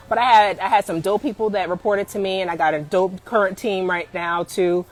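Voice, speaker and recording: A woman in her forties giving career advice on a live stream, emphatic and animated, often calling out to viewers and laughing. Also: medium pitch, normal speed, low-quality recording. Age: 40s.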